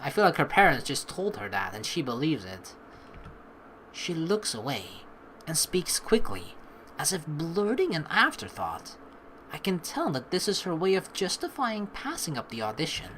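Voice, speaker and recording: A woman hosting a let's play, informal and animated, mixing game commentary with reading dialogue aloud. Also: deep voice, normal speed, a little hiss or hum, rough recording.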